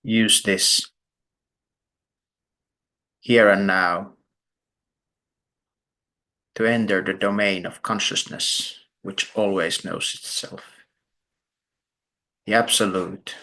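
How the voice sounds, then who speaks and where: A man guides a meditation very slowly, breaking his sentences into short, deliberate phrases. His low voice carries a creaky fry, and long pauses sit between his words. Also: steady tone, bright, forceful tone. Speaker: meditation teacher.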